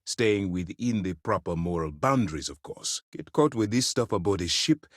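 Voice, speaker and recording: A stern space navy commander for a video game, with a low voice that moves expressively through a wide range. He speaks formally and with firm authority, and the recording is close and dry. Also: lively intonation, normal speed, dry recording. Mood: measured, businesslike. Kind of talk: acted game dialogue.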